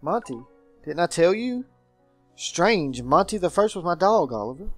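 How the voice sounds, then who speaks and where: Man reading as a melancholy, haunted gentleman guardian. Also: sits high, sing-song, normal speed, dry recording, faint music behind. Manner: formal, brooding storytelling.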